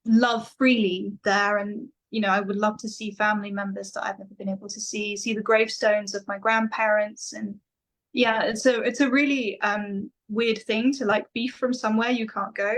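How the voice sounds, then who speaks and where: A woman's voice: mid-range voice, little pitch movement, normal speed, fairly bright tone, rough recording. Woman, young adult, from England, interview guest, reflective, many fillers. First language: Farsi. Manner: reflective, with frequent fillers and restarts. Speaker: guest musician. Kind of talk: casual interview talk.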